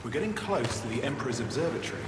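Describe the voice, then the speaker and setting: An actor playing a wary, conflicted Imperial soldier in a video game, with a guarded, sardonic, questioning manner. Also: male voice, medium pitch, animated, racing, very noisy, lightly acted. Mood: urgent. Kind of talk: cinematic video game acting. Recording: loud film-style music underneath.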